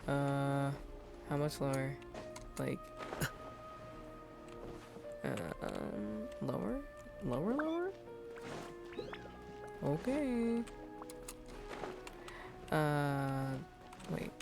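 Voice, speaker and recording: Woman streamer w/ a lowish voice, talks kinda slow, just chatting casually while gaming. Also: very animated, vocal fry, over music. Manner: informal, reacting aloud to the game. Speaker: game streamer. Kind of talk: casual livestream gameplay chat.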